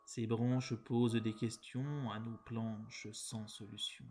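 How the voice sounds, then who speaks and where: A man reciting poems in a measured, deliberate way, phrase by phrase. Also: medium pitch, flat delivery, a little mellow, soft background music. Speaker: poetry reader. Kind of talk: poetry recitation.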